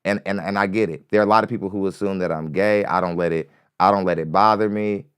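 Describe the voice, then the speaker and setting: Male podcast host, light-voiced, rambling and profane, smooth tone, close dry mic. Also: deep voice, fairly even intonation, quick pace, no room sound. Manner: rambling, repeats himself, curses freely. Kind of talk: casual commentary on a clip. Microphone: studio mic.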